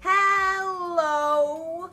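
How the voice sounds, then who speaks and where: A woman voicing an excitable female cat from a silly love story, exclaiming with over-excitement. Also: very high-pitched, extremely slow, faint music behind, voice pushed up, heavily acted. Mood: excited.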